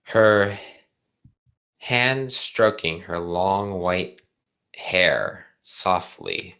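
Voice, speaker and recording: Slow, halting fan fiction reader. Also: sits low, a bit bright. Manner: halting, stumbles over words. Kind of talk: casual commentary and read-aloud.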